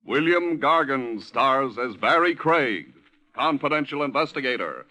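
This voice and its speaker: A man's voice: mid-range voice, animated, measured pace, pushed and bright. A man announcing a drama in a formal old-time radio style. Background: music.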